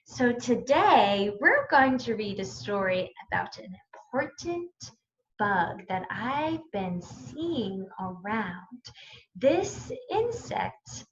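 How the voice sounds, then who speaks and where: Woman teacher doing kids story time, real slow and lively, asks little questions, slightly muffled lo-fi audio. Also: medium pitch, a little hiss or hum. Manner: simple child-directed speech with questions and prompts. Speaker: teacher reading to young children. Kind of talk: interactive children's story time lesson.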